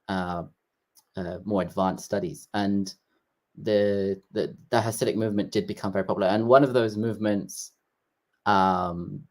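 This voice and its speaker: A man talking as a guest in a casual interview, explaining things in a halting way with frequent restarts. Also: lowish voice, a little mellow.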